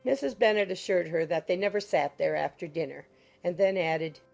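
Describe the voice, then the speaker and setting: A woman's voice: on the low side, moderate pace, vocal fry, darkish tone, faint music behind. A woman reading scripted literary narration as an audiobook narrator.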